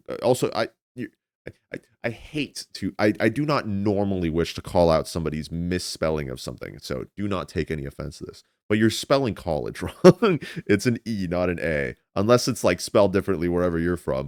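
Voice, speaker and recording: A man's voice: low-pitched, dry recording, studio quality. A man in his twenties, a native Spanish speaker, chatting casually as a livestreamer in a rambling way, often repeating words. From United States. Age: 20s. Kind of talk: casual chat with stream viewers.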